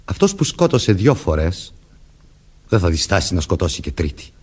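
An actor playing a stern, commanding young police detective questioning people deliberately in a Greek radio play. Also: male voice, sits low, very animated, a little mellow, husky, heavily acted. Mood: grave, warning.

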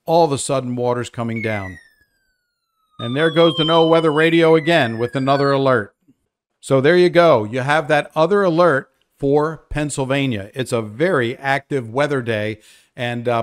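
An older man presenting an unscripted live weather update, explaining as he goes with hesitant pauses to think. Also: medium pitch.

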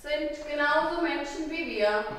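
A firm bystander scolds a rude man, as a woman plays her on stage. Her voice is a bit high and far from the mic, ringing in a big hall with clicks behind. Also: unhurried, fairly bright tone, a little hiss or hum. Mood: scolding. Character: indignant older woman bystander. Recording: distant, echoey hall, clicks.

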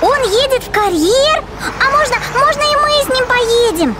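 Very squeaky, curious little cartoon girl. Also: animated, normal speed. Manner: exclamatory, inquisitive. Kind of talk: children's cartoon voice-over. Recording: noisy engine sounds behind.

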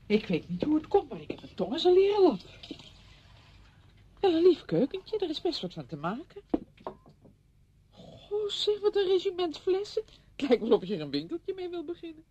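An actress playing a chatty, well-meaning visitor in a radio drama, with a coaxing, fussing manner. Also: female voice, very animated, moderate pace, pauses often, dark tone, lo-fi sound. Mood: brisk, helpful. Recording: noisy old radio play recording, low rumble.

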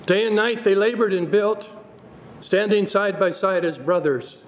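An older male pastor reads a sermon from his notes in a steady, measured way, his voice a little high for a man. The sound is dull and slightly muffled, with a long hall reverb and faint noise from the sanctuary around him. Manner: measured, reads scripture aloud from the page. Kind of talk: sermon read from notes at a pulpit. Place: church sanctuary.

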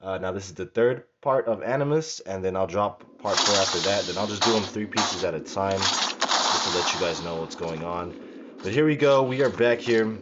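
A young man hosting a let's play, slightly raspy today, laid-back and swearing freely as he plays. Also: low voice, normal speed. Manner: laid-back, swears freely, talks to himself while playing. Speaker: gaming channel host. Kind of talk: casual let's play commentary. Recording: dull lo-fi mic under loud game music. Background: loud game music.

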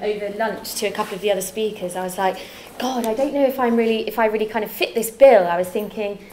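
Young welsh woman giving a talk in a dark lecture hall, rambly and friendly, bit of hiss. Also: fairly high pitch. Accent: faint Welsh. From Wales. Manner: chatty, self-deprecating, many fillers and restarts. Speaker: guest lecturer. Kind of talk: conference talk.

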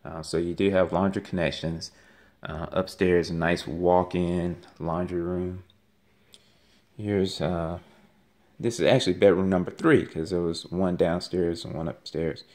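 A man gives a casual walking tour of an empty house as a realtor, talking haltingly with many ums and uhs, filmed on a handheld phone. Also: low-pitched, flat delivery, a little mellow. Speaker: realtor giving a home tour. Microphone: handheld phone camera. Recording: echoey empty rooms.

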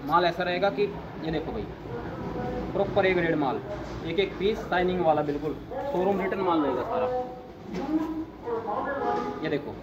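A young man hosting a vlog, walking through a large warehouse and pitching clothing stock with eager salesman patter, filmed on a handheld phone. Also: moderate pace, long pauses, muffled recording, heavy background noise. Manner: eager salesman patter, repeatedly urging viewers to look. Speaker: vlogger showing wholesale clothing stock. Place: large indoor warehouse full of clothing bales.

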